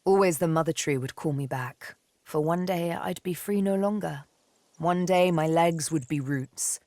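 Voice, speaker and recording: An actress playing a haunted, wistful young elven woman in a video game, telling her tale in deliberate, poetic, theatrical phrases. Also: sits low, mellow, no room sound. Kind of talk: dramatic video game dialogue, intimate storytelling.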